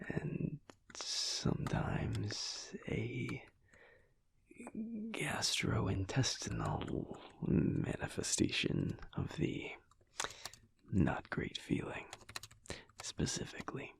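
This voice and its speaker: An intimate audio roleplay of a tired, loving werewolf husband, acted by a man. He speaks in a very husky, breathy half-whisper that drags along slowly. The delivery is soft and halting, with pitch that wanders freely. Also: big pitch swings, no echo, heavily acted. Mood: wry, tired. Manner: halting, soft, murmured with hums. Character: male werewolf husband, tired, affectionate, working late.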